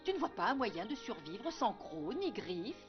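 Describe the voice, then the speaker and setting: Lady doing a gentle cartoon wolf mom, very expressive, music behind, muffled sound. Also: medium pitch, normal speed, natural voice. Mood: patient, coaxing. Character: gentle, encouraging mother wolf of a human boy. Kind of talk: French animated series dub.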